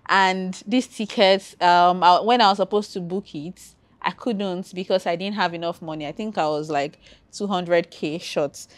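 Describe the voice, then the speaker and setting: A Nigerian woman with a Nigerian accent and a fairly low voice, chatting casually as a podcast guest. She talks in a relaxed, conversational way and repeats her key points for emphasis. The recording is dry and close, with no room sound. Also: dry recording. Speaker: podcast guest, travel content creator.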